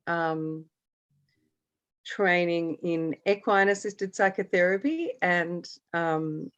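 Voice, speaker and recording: A woman from Australia, hoarse with a cold, introducing herself in a video meeting, hesitant with many fillers. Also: fairly low pitch. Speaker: equine psychotherapy trainer. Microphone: video call. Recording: dull lo-fi video call audio.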